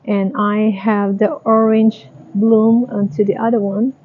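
A woman giving a casual tour of her garden, talking in a slow, halting, plain way. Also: medium pitch, little pitch movement, husky. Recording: dry, tinny phone-like sound.

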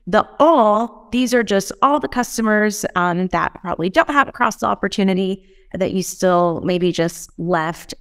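A woman in her thirties explaining software step by step as a trainer, often checking in with short tag questions. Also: mid-range voice, clean tone, no room sound. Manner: explanatory, checks in with "right?" and "okay?". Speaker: software trainer in customer support. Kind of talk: instructional screen-share walkthrough. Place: indoor room.

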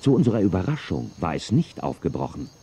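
An actor playing a calm Western sheriff who narrates his story in an audio drama. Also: low-pitched, quick pace, slight background noise. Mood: measured. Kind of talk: scripted narration.

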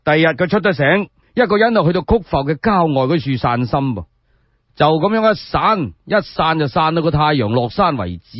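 Male Cantonese radio storyteller, higher-pitched and colloquial, very lively delivery, slightly muffled recording. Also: a little fast, no room sound. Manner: colloquial, dramatic. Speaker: solo storyteller. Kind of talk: animated oral storytelling of historical tales for radio.

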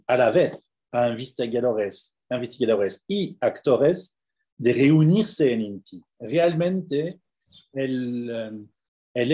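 A man with a French accent speaking Spanish as a conference presenter on a video call, halting with many repeated words and fillers. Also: medium pitch, mellow. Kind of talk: academic Q&A over video call.

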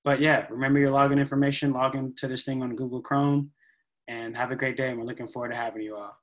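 A young man giving a relaxed step-by-step tutorial, with frequent ums, recorded on a laptop webcam in a room at home. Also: mid-range voice, fast talker, lo-fi sound. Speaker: event staff giving a tutorial. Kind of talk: casual screen-share walkthrough.

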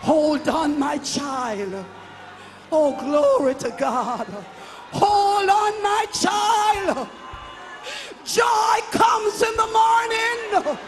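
High-pitched man shouting a fervent sermon. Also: expressive, very slow. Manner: declamatory, repeating phrases for emphasis. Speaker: preacher. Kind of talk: fervent charismatic sermon and prayer. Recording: live worship service with music and crowd. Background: music and congregation.

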